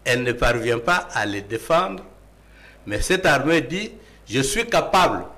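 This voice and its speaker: A man's voice: mid-range voice, big pitch swings, measured pace, pushed and bright. A man with a Congolese accent, arguing emphatically as a panelist in a political debate and posing rhetorical questions.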